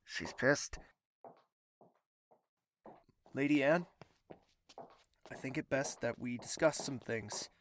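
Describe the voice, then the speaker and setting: An actor playing a courtly young prince in an audio drama, formal and courteous but stubbornly persistent. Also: male voice, medium pitch, a little slow, a little hiss or hum, lightly acted. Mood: frustrated, wary.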